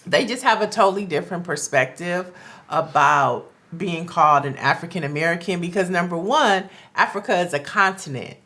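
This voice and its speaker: Earnest woman, slightly low voice. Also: normal speed, a bit bright. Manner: earnest, thoughtful, with many "um"s and repeated starts. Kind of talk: casual chat.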